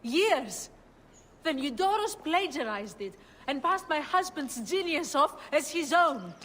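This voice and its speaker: Impassioned high-voiced poet's wife. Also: female voice, expressive, unhurried, fairly bright tone. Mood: indignant, grieving. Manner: impassioned, lamenting. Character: indignant, devoted wife of jailed poet. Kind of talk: dramatic game acting. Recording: slight hall reverb.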